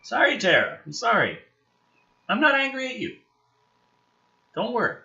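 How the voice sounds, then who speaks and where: A man's voice: extremely high pitch, huge pitch swings, moderate pace, gravelly, sharp tone, lo-fi sound, slight background noise. A man reading a script aloud with theatrical emphasis and incredulous, profane, exasperated commentary, as the host of a review show.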